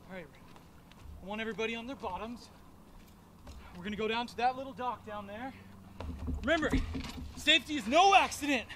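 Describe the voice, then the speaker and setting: A man's voice: extremely high pitch, a little fast, pauses often, pushed and bright. A man in his thirties playing a cocky, earnest fishing instructor in an improvised parody film, recorded outdoors. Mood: eager, instructing. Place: outdoors by a lake. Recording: noisy outdoor lakeside film audio.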